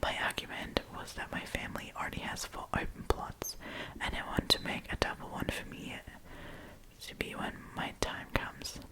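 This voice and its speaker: A woman hosting an ASMR storytime, reading online stories aloud in a soft, breathy whisper. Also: moderate pace, low-quality recording. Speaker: host of an ASMR storytime channel.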